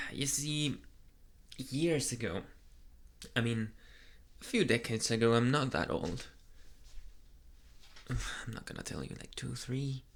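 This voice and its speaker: A shy, sweet young vampire boyfriend , acted by a man, speaks slowly in a soft ASMR roleplay. His voice has a bright edge with frequent creak. He hesitates and stammers, nervous and easily flustered. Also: mid-range voice. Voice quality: soft, breathy at times.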